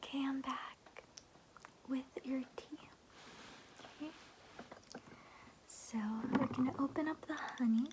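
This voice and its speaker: A woman voicing a chatty, doting grandmother in a soothing, breathy ASMR roleplay whisper, rambling in a folksy way. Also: high-pitched, noisy background. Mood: soothing, caring.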